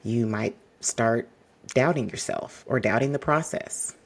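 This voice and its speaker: A man hosting an advice podcast, talking straight to the listener. His voice is husky with a gravelly edge and stays mostly monotone. His delivery is measured and exacting. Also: medium pitch. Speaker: podcast host.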